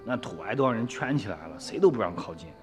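A man's voice: lowish voice, lively intonation, fast, big-room sound, over music, lightly acted. An actor playing a guarded, poor rural man in a radio drama, giving short, evasive replies.

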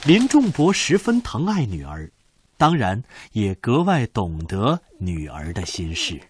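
A man's voice: lowish voice, wildly swooping pitch, a little slow, background noise. A man narrating a radio drama from a script in a formal, solemn, declamatory manner.

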